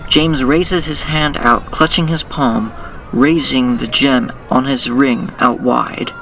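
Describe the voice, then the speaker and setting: A man acting as narrator of a superhero audio drama, reading scripted scenes in a deliberate, dramatic way. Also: mid-range voice, steady tone, gravelly, bright, forceful tone, far from the mic, a little hiss or hum, exaggerated voice, low-quality recording.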